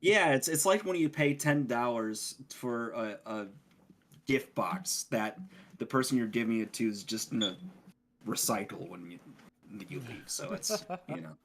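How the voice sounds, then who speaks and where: A man's voice: mid-range voice, expressive, a little slow, fairly bright tone. A man talking casually as a podcast co-host, explaining things in a rambling way. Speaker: podcast co-host reviewing video games.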